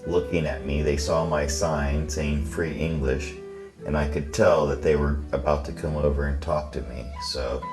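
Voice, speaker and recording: A man's voice: rumbling low, steady tone, moderate pace. An American man narrating a personal story as a vlog host in voice-over. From Florida, United States. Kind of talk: scripted-sounding voice-over storytelling. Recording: music bed under voice-over. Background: plucked guitar music.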